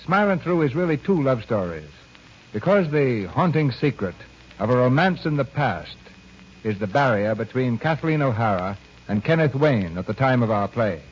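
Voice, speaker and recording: A man hosting a classic radio drama, announcing and narrating from a script in a polished, measured way. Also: mid-range voice, very animated, breathy edge. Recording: dull old radio broadcast. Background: music.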